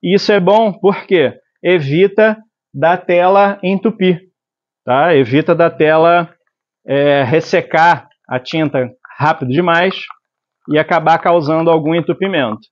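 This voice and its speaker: Brazilian guy in his 40s mixing ink and explaining, kinda higher voice, a bit muffled audio. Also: a little slow. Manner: explanatory, tag questions like "tá?". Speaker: screen printing instructor. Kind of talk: hands-on tutorial. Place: screen printing workshop, indoors. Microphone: lapel mic. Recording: slightly dull lo-fi sound.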